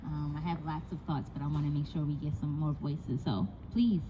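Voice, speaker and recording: Woman, event moderator, lowish pitch, informal, encouraging, noisy live audience setting. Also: little pitch movement, a little fast, lo-fi sound.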